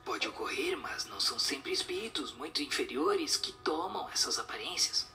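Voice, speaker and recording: A man plays a solemn spirit in an audio drama, instructing in a breathy whisper. The delivery swoops in pitch over a faint music bed, staying didactic throughout. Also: very animated, bright, forceful tone, exaggerated voice. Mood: solemn, instructive. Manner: didactic, measured explanations.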